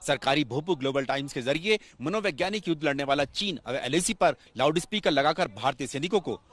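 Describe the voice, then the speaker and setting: A man's voice: on the high side, animated, brisk, a bit bright. A man reading a scripted news voice-over in a dramatic, emphatic manner.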